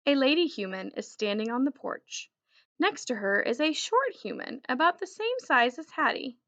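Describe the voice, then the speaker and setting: A woman reading a children's story aloud in an expressive, deliberate storytelling manner. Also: a little high, gravelly, highs cut off. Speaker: children's book reader.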